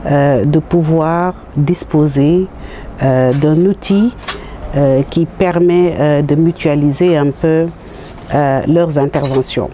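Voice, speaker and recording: Middle aged senegalese woman explaining stuff like an author interview, dark mellow tone, lots of hesitating, bit of noise behind her. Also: deep voice. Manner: hesitant, halting, searching for words. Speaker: author and jurist presenting own book. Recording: noticeable background noise.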